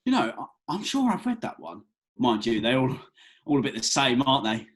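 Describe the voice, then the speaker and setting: A man's voice: mid-range voice, very animated, moderate pace, breathy edge. An actor playing a cheeky, bumbling young British man, colloquial and hesitant, in a read-through of a comedy script. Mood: cheeky, bemused. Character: easygoing, cheeky, bumbling young bloke at festival.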